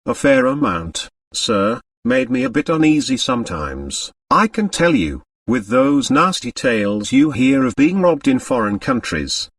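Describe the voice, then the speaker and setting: A man's voice: medium pitch, big pitch swings, measured pace, hardly any pauses, no room sound, clean studio sound, far from the mic. A man voicing a deferential, chatty English lady's maid in an audiobook, easily flustered and very proper. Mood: uneasy, confiding. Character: nervous, discreet English lady's maid, respectful woman servant.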